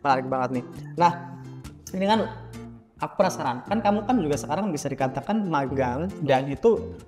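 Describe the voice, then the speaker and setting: A man's voice: fairly high pitch, animated, fairly quick, a little mellow. A man hosting a casual podcast interview in a chatty, informal way, often checking for agreement. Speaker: podcast host. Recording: reverberant room with background music. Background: music.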